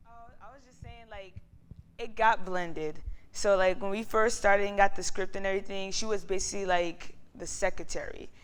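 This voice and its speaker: A woman's voice: mid-range voice, steady tone, moderate pace, long pauses, smooth. A woman, an actor in a discussion, explaining casually in a colloquial, slightly hesitant way that circles back on itself.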